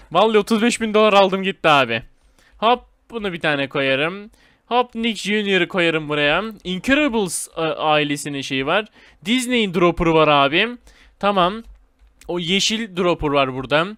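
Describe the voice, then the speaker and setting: A man giving casual, excitable gameplay commentary in informal slang, talking straight to his viewers. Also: fairly high pitch, clean tone, no echo, clean studio sound. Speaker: gaming video host.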